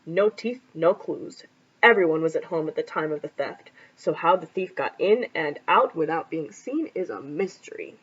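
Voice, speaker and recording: A woman voicing a serious male police officer, speaking low and formally. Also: lively intonation, moderate pace, slightly rough. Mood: grave. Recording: lo-fi recording.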